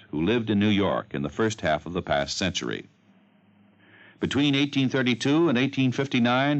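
A male radio announcer delivering formal scripted narration in a measured, declamatory manner. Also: lowish voice. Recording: muffled old radio broadcast.

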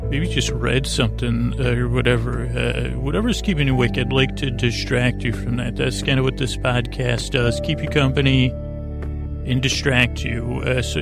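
A man hosting a bedtime podcast in a creaky, mumbling voice, meandering off on tangents. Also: medium pitch. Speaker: podcast host. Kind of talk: rambling bedtime podcast intro.

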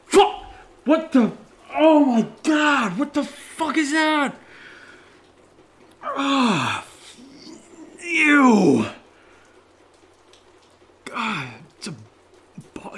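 A man's voice: very high voice, a bit bright, slight background noise, full character voice. A man playing a jittery fellow in a horror audio drama, stammering, swearing and rambling to himself. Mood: startled, disgusted. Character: jittery man nervously talking to himself in an attic. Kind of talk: naturalistic solo horror audio drama acting.

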